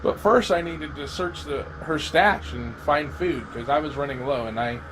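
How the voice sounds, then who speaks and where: Audio drama lead: man playing haunted, lonely male survivor, slightly high-pitched, halting first-person narration, lightly noisy home recording. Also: lightly acted. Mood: lonely, worried. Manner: halting, dramatic pauses, repeated words. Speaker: amateur voice actor.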